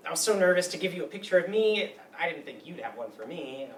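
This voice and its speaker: A man's voice: a little high, big pitch swings, fast. Actor doing a wry neurotic guy holding a grudge, stage play reading, echoey and far from the mic. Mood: wistful, confessional. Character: bitter, neurotic grown man nursing an old grudge. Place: meeting room used for a staged reading. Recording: roomy, distant mic, faint noise.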